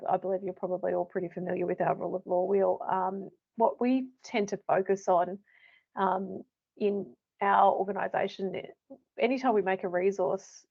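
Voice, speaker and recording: Croaky Australian woman presenting a webinar. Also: medium pitch. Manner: chatty, many fillers and restarts. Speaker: education manager presenting a webinar. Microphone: computer mic on a video call. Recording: dull lo-fi webinar audio.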